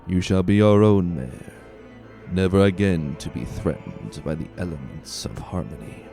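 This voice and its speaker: A man's voice: low-pitched, moderate pace, creaky, mellow, faint music behind. A man voicing a zealous unicorn cult leader in a reverent, grandiose, ceremonial manner for a storybook reading. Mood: ominous, exalted.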